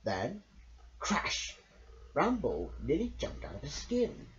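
A man reads a children's story as its host. He draws his words out theatrically at a slow pace, his pitch swinging widely. The recording sounds dull and lo-fi. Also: huge pitch swings. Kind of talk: children's picture-book narration.

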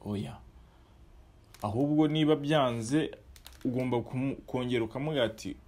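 A man in his thirties giving measured commentary to camera outdoors, with frequent hesitations and restarts. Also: mid-range voice, a little mellow. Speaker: online channel presenter.

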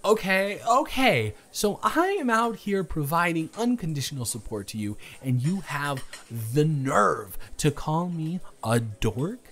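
A man's voice: a little high, very animated, measured pace, hardly any pauses, fairly bright tone, pitched above the performer's own voice, full character voice. A young man playing a shy, smitten date in an improvised romantic audio roleplay, hesitant and stammering, both teasing and earnest. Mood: mock indignant.

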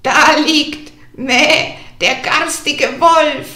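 A woman's voice: high voice, steady tone, extremely slow, sharp tone, roomy sound, soft background music, pitched above the performer's own voice, heavily acted. A woman voicing an old storybook mother goat, anxious yet resolute, who bleats between phrases. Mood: indignant.

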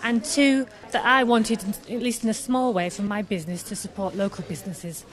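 A young woman from Scotland talks at an even middle pitch, eager and chatty, often pausing on ums and restarts. Her voice sits close on a handheld microphone in a busy pub. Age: young adult. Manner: chatty, enthusiastic, many fillers. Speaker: artist guest. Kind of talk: live radio interview. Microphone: handheld mic held by host.

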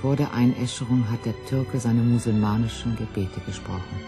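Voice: mid-range voice, moderate pace, over music. A person giving a reflective personal reminiscence in plain, sober, short sentences.

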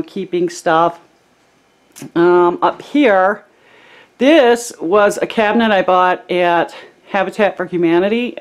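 Chatty woman touring her cabin. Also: lowish voice. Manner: chatty, relaxed, many ums. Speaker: homesteading vlogger giving a home tour. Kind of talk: casual home tour narration. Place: small cabin indoors.

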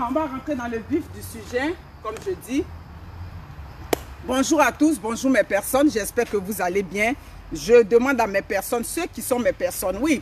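A woman's voice: on the high side, darkish tone. A middle-aged woman from Africa, hosting a motivational live stream outdoors in a forest, speaking emphatically and repeating her phrases. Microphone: phone camera at a few steps.

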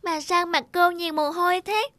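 A woman's voice: very high-pitched, normal speed, fairly bright tone. A woman voicing a sweet little girl patient in a cartoon dub. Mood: sweet, childlike. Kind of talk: cartoon dubbing.

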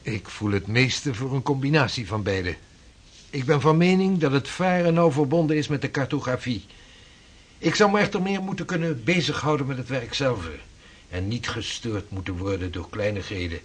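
An actor playing an earnest sixteenth-century navigator and mapmaker in a period radio drama, speaking in formal, measured, archaic phrases. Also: male voice, medium pitch, expressive, husky. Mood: serious.

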